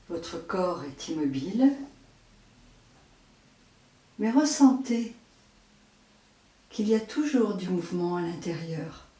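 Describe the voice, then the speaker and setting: A woman leading a guided yoga nidra meditation as an instructor, in measured short phrases with long pauses. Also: lowish voice, dark tone. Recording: roomy echo, distant microphone.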